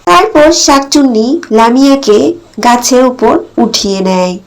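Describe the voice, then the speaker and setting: High-voiced woman narrating a cartoon story. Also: animated, normal speed, poor audio.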